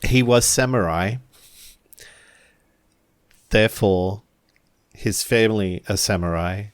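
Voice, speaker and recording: A man runs a tabletop roleplaying game as game master. His voice is low and his delivery slow and deliberate, pausing between phrases as he narrates. The sound is dry and close. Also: dry recording.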